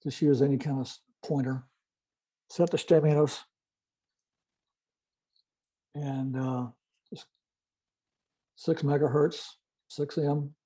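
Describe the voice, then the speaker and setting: An older man giving an informal technical presentation and demonstration, explaining methodically in a halting way. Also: fairly high pitch, steady tone, mellow, slightly rough. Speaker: club presenter, retired-style veteran telephony engineer.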